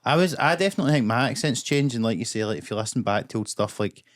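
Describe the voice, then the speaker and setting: A man's voice: mid-range voice, lively intonation, fast, dry recording, studio quality. A young Scottish man with a Glaswegian accent, co-hosting a podcast in loose, chatty talk with swearing and laughter. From Scotland. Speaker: podcast co-host. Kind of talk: casual chat.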